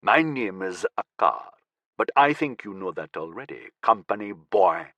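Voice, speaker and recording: A man voicing a cold, menacing militant leader who speaks slowly in a low, dangerous tone. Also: sing-song, fairly bright tone, dry recording, full character voice.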